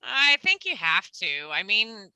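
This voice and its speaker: A woman's voice: medium pitch, big pitch swings, unhurried, sharp tone, full character voice, rough recording. A woman playing a polite, dryly teasing, slightly hesitant coworker in a comedic play reading. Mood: awkward, teasing.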